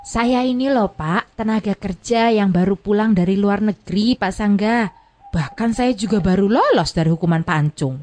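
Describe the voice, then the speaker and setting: An actress playing a plain-spoken, wronged but feisty returned migrant worker, telling things in an emphatic, folksy way in a drama. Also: female voice, medium pitch, normal speed, a little mellow, close to an everyday voice. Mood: folksy, frank. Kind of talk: scripted drama dialogue.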